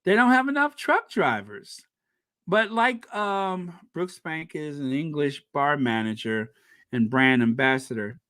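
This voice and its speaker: A man hosting a casual livestream, chatting with viewers and reading their comments aloud, animated and quick to laugh. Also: fairly high pitch, no room sound. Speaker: livestream host.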